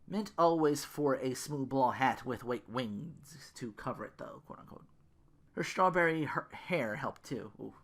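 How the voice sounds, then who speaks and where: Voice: mid-range voice, lively intonation, unhurried, a bit bright. A podcast host reading fan fiction theatrically, breaking off now and then for casual asides. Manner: theatrical, self-interrupting.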